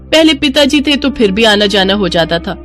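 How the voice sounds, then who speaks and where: Woman voicing a pushy, greedy sister-in-law, animated pitch. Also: normal speed, soft background music, close to an everyday voice. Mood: insistent. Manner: pushy, complaining, emphatic.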